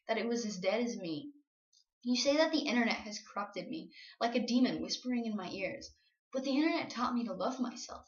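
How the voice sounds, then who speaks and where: A young adult woman performing spoken-word slam poetry with an earnest, emotional, confessional delivery. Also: fairly high pitch. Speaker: slam poet. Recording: roomy, dull lo-fi home recording.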